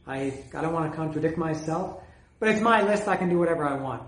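A man with a slightly high voice talks loosely about his album collection, stumbling and repeating himself as he goes. His voice is wearing thin, and the room around him rings with echo. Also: animated, quick pace, a bit bright. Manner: rambling, hesitant, repeats words. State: losing his voice. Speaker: music collector reviewing albums. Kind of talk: casual solo talk about his collection.

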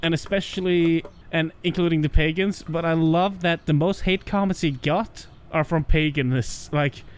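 A man's voice: a little high, steady tone, moderate pace, slight background noise. A young man co-hosting a podcast, chatting casually with crude jokes and frequent laughs. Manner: crude, joking, laughs often. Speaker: podcast co-host. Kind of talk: casual chat.

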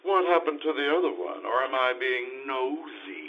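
A man's voice: mid-range voice, animated, normal speed, natural voice. A man playing a fussy, nosy, theatrical hotel clerk in a radio comedy performed live on stage. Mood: nosy, dry. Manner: officious, theatrical. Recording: dull lo-fi live recording, noisy.

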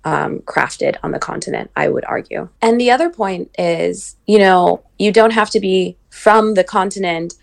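A woman's voice: mid-range voice, moderate pace, gravelly, hardly any pauses. A woman who grew up between the United States and Nigeria, founder of a venture capital firm, answering interview questions thoughtfully, with frequent fillers and restarts.

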